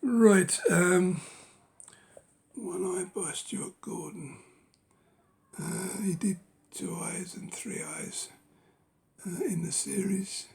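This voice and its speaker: Gravelly hoarse man, half-whispering. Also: high voice, a little mellow. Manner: unhurried, musing, lots of ums and rights. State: losing his voice. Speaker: book collector. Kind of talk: casual collection walkthrough.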